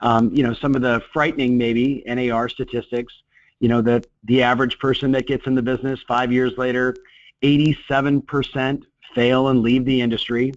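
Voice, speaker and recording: A middle-aged man coaching real estate agents in a webinar, talking in an energetic, motivational way with lots of "you know" fillers. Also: mid-range voice, moderate pace, no echo. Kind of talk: webinar talk. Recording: dull, dry lo-fi webinar audio.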